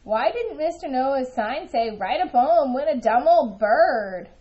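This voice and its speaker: An eager, impatient storybook girl, acted out by a woman reading aloud. She talks in an earnest, excitable way, on the high side and with very lively swings in pitch. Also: measured pace, highs cut off.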